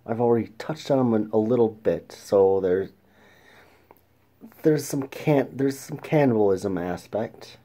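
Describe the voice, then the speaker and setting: Guy doing a film review show, slow and mellow, keeps restarting and clearing his throat. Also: lowish voice. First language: English. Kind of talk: casual film review monologue.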